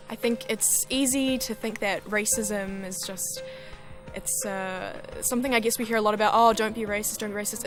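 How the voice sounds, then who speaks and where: A teenage woman with a New Zealand accent answering interview questions casually, thinking aloud in a relaxed way. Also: mid-range voice. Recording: music playing under voice.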